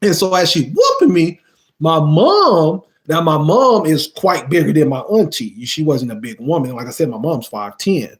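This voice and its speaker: A man's voice: on the high side, moderate pace. A young man, telling a childhood story casually to viewers and acting out the quotes. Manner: animated, acts out quotes, asks "you see what I mean?". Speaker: storytime vlogger.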